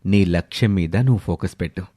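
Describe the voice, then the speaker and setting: A man voicing a supportive young husband in a story, gentle and reassuring. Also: sits low, fairly quick, husky, voice pushed down.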